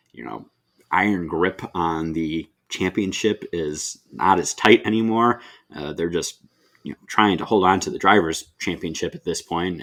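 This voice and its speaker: Deep-voiced male podcast co-host. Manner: measured, thoughtful, with fillers. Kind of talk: casual chat.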